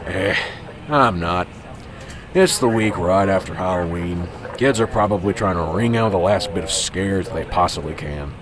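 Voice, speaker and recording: A man's voice: low voice, normal speed, crowd noise, lightly acted. A man playing a cynical, weary veteran police officer in an amateur radio drama, grumbling, sarcastic and dismissive. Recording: clearly noisy, vehicle-like background ambience.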